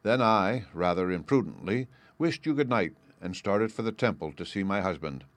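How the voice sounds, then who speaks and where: A man reading out a letter written by a clever, composed woman, in a measured storybook voice. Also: sits low, expressive, no room sound.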